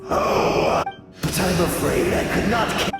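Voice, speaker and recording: An actor playing a smug, menacing nightmare spirit in a video game, taunting in a grandiose, theatrical way. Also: male voice, sits high, unhurried. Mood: sly, persuasive. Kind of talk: video game villain acting. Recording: very echoey hall, distant, loud music behind.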